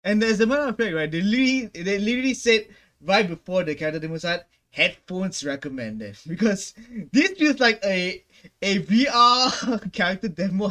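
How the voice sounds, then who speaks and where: A young man hosting a casual gaming reaction video on a headset, with animated, slangy exclamations. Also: a little high. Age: young adult. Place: small room indoors at home.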